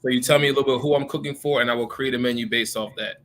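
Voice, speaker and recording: Bright-voiced chef. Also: male voice, mid-range voice, fairly even intonation, very fast, a little hiss or hum. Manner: friendly, earnest, explains simply. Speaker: private chef guest. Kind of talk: explaining on a live stream.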